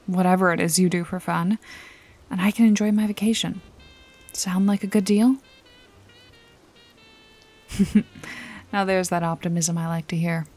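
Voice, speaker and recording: A woman playing a teasing, bossy, secretive detective in a noir mystery ASMR roleplay, wry and coaxing with a soft, breathy voice. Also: mid-range voice.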